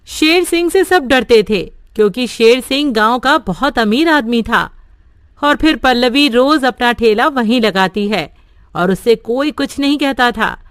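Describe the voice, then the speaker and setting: A woman narrating a cartoon story in a plain, steady way. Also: a little high, unhurried, smooth, no echo, studio quality. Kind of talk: scripted narration.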